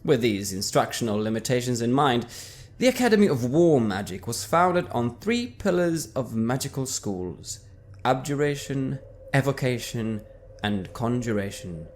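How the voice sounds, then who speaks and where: A man's voice: mid-range voice, sing-song, darkish tone. Man reading a character backstory. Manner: measured, storytelling cadence. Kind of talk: dramatic first-person reading. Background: faint background music.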